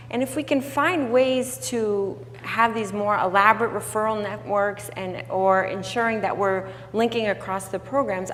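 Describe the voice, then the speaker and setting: A woman's voice: medium pitch, a bit bright. Woman panelist in a reverberant hall. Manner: thoughtful, many fillers and restarts. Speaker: panelist from a development organization. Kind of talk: panel discussion answer. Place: conference room. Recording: hall reverb with faint hum.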